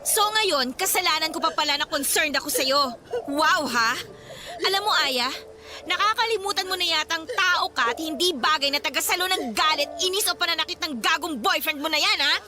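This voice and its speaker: An actress playing an outspoken, indignant young best friend in a radio drama, scolding bluntly with exclamations. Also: female voice, extremely high pitch, normal speed, music in the background. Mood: exasperated, scolding. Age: young adult.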